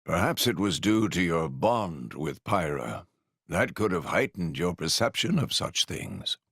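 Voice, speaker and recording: An actor playing a wise, gentle male companion in a game cutscene, speaking formally. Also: sits low, big pitch swings, a little slow, breathy edge, no room sound, studio quality, full character voice. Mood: considerate. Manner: formal, considered. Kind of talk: video game cutscene acting.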